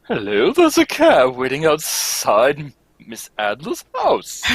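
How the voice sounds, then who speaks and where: Woman playing a husky, earnest male sidekick. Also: deep voice, huge pitch swings, slow, dry recording, full character voice. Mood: excitable. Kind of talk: old-time radio drama script read live.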